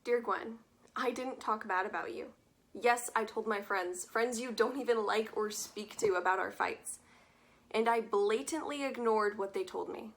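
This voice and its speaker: A woman's voice: a little high, measured pace. A young woman acting a teenage girl, wry and wistful, reading letters in a stage monologue. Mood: defensive, firm. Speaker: stage actor.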